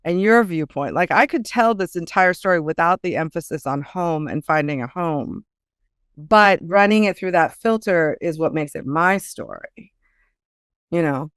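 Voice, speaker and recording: A woman guest author chatting casually in an interview, telling things in an animated way and acting out quotes. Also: fairly low pitch, moderate pace, clear, resonant tone, dry recording, studio quality.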